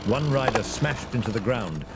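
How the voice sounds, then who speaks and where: A man's voice: medium pitch, animated, moderate pace. A man narrating a scripted fantasy adventure in a dramatic storytelling style. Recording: clear vehicle and ambience noise behind.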